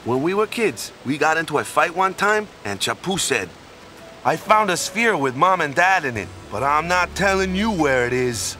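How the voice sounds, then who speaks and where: A man's voice: on the high side, expressive, moderate pace, bright, forceful tone, faint music behind. An actor playing a good-hearted, boneheaded island man in a video game, talking in a casual, folksy way with tag questions. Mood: wistful. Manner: folksy and casual, tags sentences with "yeah?" and "you know?".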